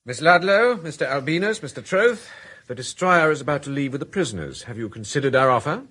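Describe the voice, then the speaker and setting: A man playing a composed aristocratic lord in a radio drama, speaking formally and deliberately. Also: on the high side, animated, fairly bright tone, slightly rough.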